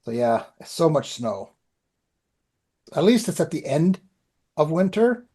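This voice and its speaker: A man's voice: a little high, sing-song, takes it slowly, mellow, husky. A man telling anecdotes in a rambling, informal way as a podcast co-host. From Canada. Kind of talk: casual chat, anecdotes.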